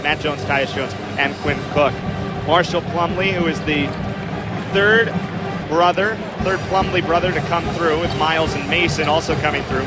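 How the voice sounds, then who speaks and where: Young male play-by-play announcer, fairly high voice, live game commentary with very heavy venue noise. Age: young adult. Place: sports venue commentary position. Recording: very noisy live sports venue broadcast.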